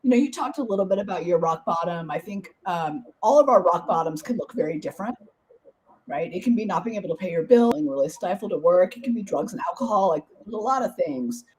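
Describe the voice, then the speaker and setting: A woman hosting a podcast interview, her voice hoarse and partly gone, talking in a bubbly, enthusiastic, chatty way. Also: on the low side, expressive, fast, darkish tone, rough recording. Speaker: podcast host.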